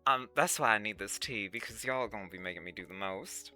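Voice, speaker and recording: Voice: deep voice, a little fast, pushed and bright, soft background music. A livestream host chatting casually with viewers in a put-on Southern US accent, a folksy Southern Belle drawl full of sugary terms of address. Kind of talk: casual livestream chat reading and answering viewers' comments.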